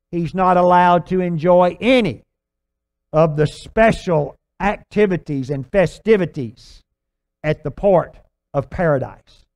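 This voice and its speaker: An older man preaching a sermon, telling a long illustration story on a church stage with lively gestures. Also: high voice, clear, resonant tone. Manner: animated, gesturing storyteller with filler restarts. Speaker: pastor. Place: large church stage with purple lights.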